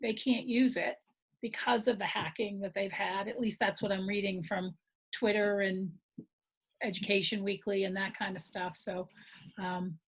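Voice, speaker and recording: A woman's voice: mid-range voice, steady tone, moderate pace, husky, rough recording. A middle-aged American woman chatting casually with colleagues in a work meeting on a video call. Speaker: school staff member leading teachers.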